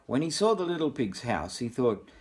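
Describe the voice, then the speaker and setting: An older man reads a picture book to young children like a grandfather. His voice is husky and rises and falls a great deal, with expressive asides put straight to the little listeners. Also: mid-range voice, normal speed. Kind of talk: storybook reading with asides to children.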